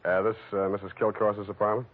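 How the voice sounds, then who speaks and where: An actor playing a sardonic, hard-boiled private detective with clipped wisecracks in a 1940s radio drama. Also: male voice, on the low side, little pitch movement, quick pace, natural voice. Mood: dry, sardonic. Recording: tinny, band-limited old radio recording.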